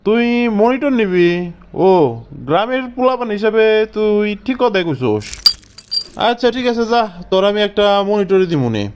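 A man's voice: high voice, expressive, moderate pace, a little mellow, a little hiss or hum. A man voicing an easygoing, slick village shopkeeper in a comic cartoon, with chatty colloquial patter and a rural Bangladeshi accent. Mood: sales pitch. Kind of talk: comic Bengali cartoon dialogue.

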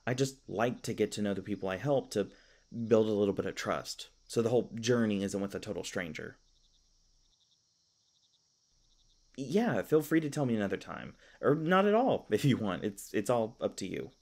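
Man playing a low-voiced, flustered elf park ranger. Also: big pitch swings, fairly quick, a little mellow. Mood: friendly, reassuring. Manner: rambling, stammering, apologetic, self-deprecating humor. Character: ancient but youthful male elf park ranger, flustered, kindly. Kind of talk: fantasy audio roleplay, casual conversational acting.

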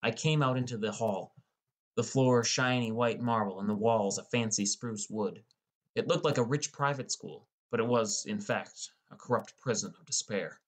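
A man's voice: medium pitch, normal speed. A man reading scripted first-person story narration as an audiobook narrator.

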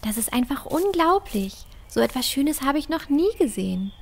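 A woman playing a bold, high-voiced young girl explorer. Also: sing-song, moderate pace, vocal fry, full character voice. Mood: amazed. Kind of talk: fantasy audio drama acting.

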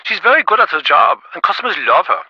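A man's voice: high voice, very animated, fast, slightly rough, no room sound, muffled recording, heavily acted. A man voicing a smug, impatient rich businessman in a video game, glib and self-important, with stammering, sarcastic delivery. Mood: glib, defensive. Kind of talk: acted game dialogue.

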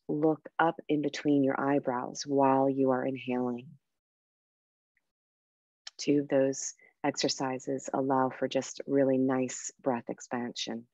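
A woman guiding a meditation and breathing exercise in a soft, unhurried, soothing way with pauses. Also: extremely low pitch, no echo, highs cut off. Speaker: meditation guide and coach. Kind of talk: guided meditation with breathing instruction.

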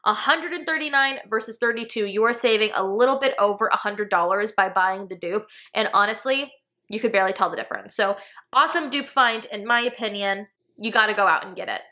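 Bright-voiced American woman reviewing home decor. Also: mid-range voice, fairly quick. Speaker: home decor video host. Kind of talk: casual product review to camera. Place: small furnished room indoors.

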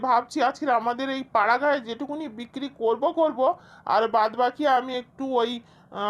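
A woman's voice: sits high, close to an everyday voice. A woman voicing a hardworking, weary village farmer and vegetable-selling father in an amateur cartoon dub. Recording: music under the voice.

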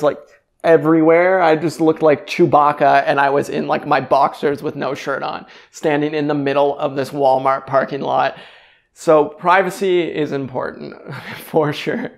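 A young man in his twenties from Canada, chatting upbeat to camera in a casual travel vlog, telling stories with lots of fillers, recorded on a lapel mic in a kitchen. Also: fairly high pitch. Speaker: travel vlogger. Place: indoors in a bright kitchen.

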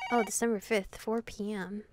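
A young adult woman streaming a visual novel, reading the text aloud with casual, slangy reactions. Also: mid-range voice. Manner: informal slangy asides. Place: indoor room, webcam setup. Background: game music.

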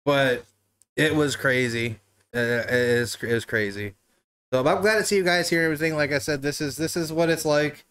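A man's voice: on the high side, a little fast, a bit bright, clean tone. A man in his thirties hosting a casual livestream talk show from a living room couch, laughing often and bantering loosely with swearing.

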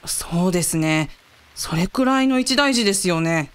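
A woman's voice: lowish voice, animated, moderate pace, clean tone, close to an everyday voice. A woman playing a polite, anxious young female research scientist in a radio drama. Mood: nervous.

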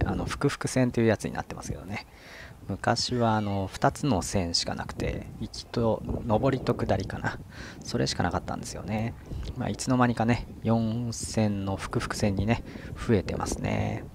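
A man's voice: lowish voice, creaky. A middle-aged man from Japan, chatting casually with lots of fillers while vlogging outdoors by a river on a camera mic. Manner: relaxed, chatty, many fillers and tag particles. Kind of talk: casual outdoor vlog narration. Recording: outdoor wind and ambient noise.

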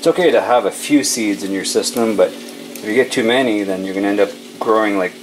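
Laid-back Canadian man, low voice, noisy recording. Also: a little fast. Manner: laid-back, informal, swears freely. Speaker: home vlogger. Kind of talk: casual chat while filming daily life. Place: home kitchen, with some outdoor shots. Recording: noisy handheld camera audio with ambient hiss.

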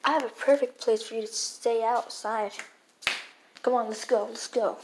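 A young performer playing a grumpy, tired father who scolds his son in a homemade skit, gruff and repeating his orders. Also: medium pitch, fairly even intonation, moderate pace, slight background noise, natural voice, low-quality recording.

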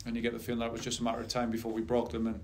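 Middle-aged man, flat steady coach. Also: medium pitch, very fast, a little hiss or hum. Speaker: head soccer coach. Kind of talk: post-game press conference answers. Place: press conference room. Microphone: table mic on a stand.

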